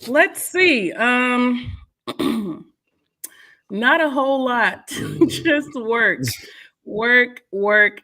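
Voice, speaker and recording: A woman's voice: on the high side, sharp tone. A woman co-hosting a morning show, chatting casually and laughing often. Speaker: radio show co-host and podcaster.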